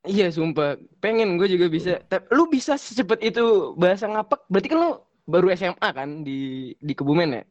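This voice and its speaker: A man's voice: high voice, animated, brisk, dry recording, muffled recording. A young man hosting a casual podcast chat, teasing his guests, laughing often and swearing playfully. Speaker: podcast host.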